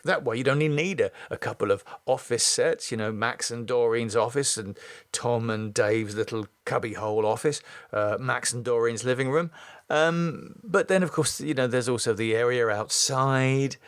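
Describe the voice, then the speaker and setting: A man's voice: medium pitch, moderate pace, no echo, studio quality. A middle-aged British man hosting a podcast, reading his own writing and talking casually to listeners. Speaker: podcast host and writer. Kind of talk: scripted screenplay reading and casual podcast talk.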